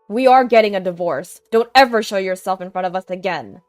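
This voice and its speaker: A woman's voice: mid-range voice, a little fast, a little mellow, no echo, faint music behind, close to an everyday voice. Woman reading as a firm, blunt father. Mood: cold, firm. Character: firm, weary, responsible adult son and father.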